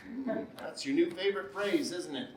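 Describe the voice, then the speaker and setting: A man's voice: high voice, animated, normal speed. A man acting a needling, self-absorbed husband in a naturalistic relationship drama, with emphatic delivery. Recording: echoey, distant mic, background noise.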